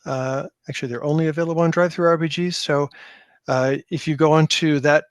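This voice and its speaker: A man's voice: on the high side, steady tone, brisk, clean tone, dry recording, studio quality. A man speaking casually as a guest in a podcast interview about his own work, with a self-deprecating manner. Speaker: podcast guest, tabletop game designer.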